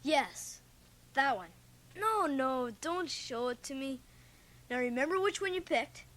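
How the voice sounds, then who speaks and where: An earnest, stubborn boy of fourteen in a radio play. The voice is high and bright with very lively swings, on a noisy old cassette recording. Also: unhurried, heavily acted. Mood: eager, showy. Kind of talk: radio drama acting. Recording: noisy cassette transfer of 1980 radio drama.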